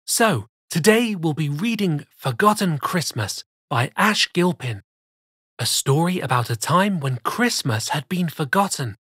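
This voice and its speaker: Husky man reading a children's story. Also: on the high side, expressive, no echo, studio quality. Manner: theatrical, deliberate rhymed reading. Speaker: storyteller host of a children's read-aloud show. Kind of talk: expressive children's story narration. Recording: soft music bed behind the voice. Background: soft music.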